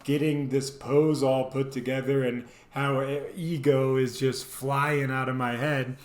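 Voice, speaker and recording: A man's voice: mid-range voice, measured pace. A man telling a casual, comedic personal story as a host, animatedly acting out bits as he goes. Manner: animated, acts out quoted lines and sound effects. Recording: echoey, roomy sound.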